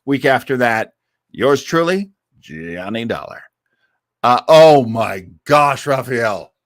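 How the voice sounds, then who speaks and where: A man's voice: medium pitch, lively intonation, slow, dry recording, clean studio sound. A man hosting an online listening show, chatting casually with listeners like an enthusiastic, nerdy fan of old radio. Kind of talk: casual livestream chat with listeners.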